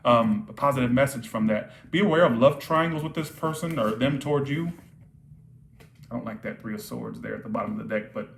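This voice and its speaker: A man at middle pitch giving a live tarot reading, repeating himself and asking "all right?" as he goes. His voice is starting to wear out from long talking. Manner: repetitive, checks in with frequent "all right?". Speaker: tarot reader.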